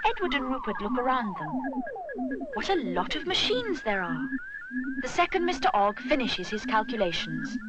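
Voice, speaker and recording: A woman reading a children's story with expressive, dramatic narration. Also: high-pitched, normal speed, loud music behind the voice. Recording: noisy recording.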